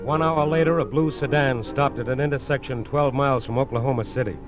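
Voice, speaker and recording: An actor with an American accent as a solemn narrator, delivering dramatic scripted 1940s radio crime narration. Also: male voice, mid-range voice, quick pace, close to an everyday voice. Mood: grave. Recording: muffled old radio broadcast. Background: dramatic music.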